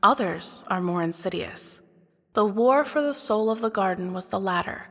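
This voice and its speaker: A woman narrator tells a dramatic tale in a husky voice. Her pitch is average, and a long reverb trails her words. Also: expressive, moderate pace, a bit bright. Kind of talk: dramatic scripted storytelling narration. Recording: long reverberant hall effect.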